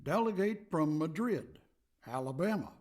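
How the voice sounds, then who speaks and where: A man's voice: on the high side, lively intonation, a little slow, darkish tone, dry recording, clean studio sound. A man playing a formal, proud figure making official pronouncements in a radio play, declamatory in manner.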